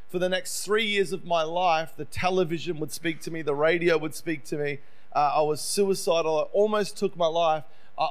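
A middle-aged Australian man preaching as a visiting speaker, telling his personal story in a conversational, self-deprecating way. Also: a little high. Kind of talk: sermon, personal testimony.

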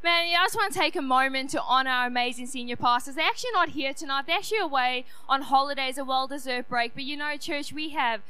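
Booming high-voiced young woman preacher. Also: fast, hardly any pauses, slight background noise, low-quality recording. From South Africa. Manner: loud, booming, earnest. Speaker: youth church speaker. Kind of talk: personal testimony sermon. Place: church hall.

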